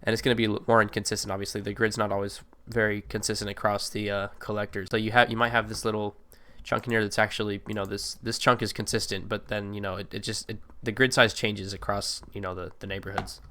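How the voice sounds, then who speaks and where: A man's voice: lowish voice, little pitch movement, fast, hardly any pauses, pushed and bright, a little hiss or hum. A man with a slightly congested, allergy-stuffed voice, giving casual, rambling commentary over a city-building game with many fillers and self-corrections. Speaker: gameplay video creator.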